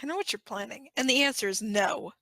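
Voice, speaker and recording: A woman's voice: on the high side, fast talker, a bit bright, no room sound, clean studio sound. A woman voicing a teasing, easily indignant young man in an amateur audio fan fiction, speaking with playful emphasis.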